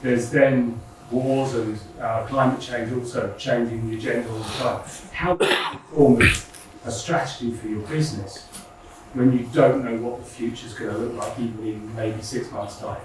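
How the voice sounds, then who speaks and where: A man in a conference audience asking a question, projecting his voice loudly. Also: medium pitch, fairly even intonation, normal speed, slight background noise. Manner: loud, projecting voice. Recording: echoey hall, far from mic.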